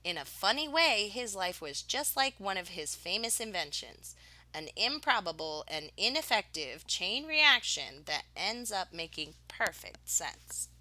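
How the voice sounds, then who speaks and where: A woman reading a picture book aloud like a teacher, expressively, with dramatic pauses and emphasis on key words. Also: mid-range voice, sing-song, sharp tone, poor audio.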